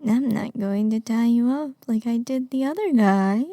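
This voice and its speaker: A woman acting an obsessive, lovesick young kidnapper in an intimate ASMR roleplay, sweetly menacing with breathy murmurs and sighs. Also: fairly high pitch, moderate pace, warm tone, dry recording, clean studio sound, close to an everyday voice. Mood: possessive, doting.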